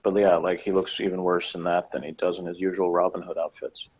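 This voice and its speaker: A man's voice: low voice, little pitch movement, fairly quick, darkish tone, slightly rough. A man in a casual review chat, rambling and hedging as he argues his points as a co-host. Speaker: podcast co-host reviewing TV episodes.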